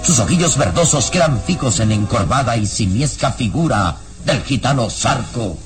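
A man's voice: mid-range voice, lively intonation, normal speed, music in the background. A man narrating a vintage radio serial in a dramatic, scripted style.

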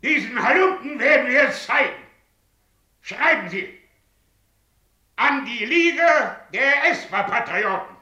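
An actor playing an irate, bombastic guild chief who dictates in a declamatory, indignant way in a radio drama. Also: male voice, extremely high pitch, lively intonation, slow, pauses often, sharp tone, roomy sound, heavily acted. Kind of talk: 1970s German radio drama acting.

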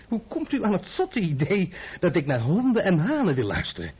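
Cool, husky, insolent young gentleman. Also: high-pitched, huge pitch swings, measured pace, exaggerated voice. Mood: dryly insolent. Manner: polite, dry, mocking and unimpressed. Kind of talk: Dutch radio drama acting. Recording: muffled, band-limited old radio recording.

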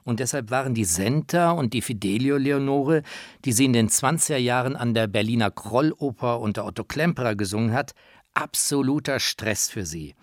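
A man's voice: medium pitch, no room sound, studio quality. A man presenting a documentary in scripted, first-person narration.

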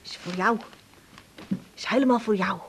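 An actress playing a grateful, homesick Turkish migrant wife in a radio drama, with a Turkish accent, speaking in halting, broken sentences. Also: female voice, mid-range voice, a bit bright, a little hiss or hum, natural voice. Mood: gently insistent.

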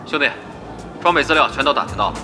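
A man's voice: mid-range voice, expressive, fast, close to an everyday voice. An actor playing a businesslike male guild officer from an online game in an audio drama. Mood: brisk, businesslike. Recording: music under the voice. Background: music.

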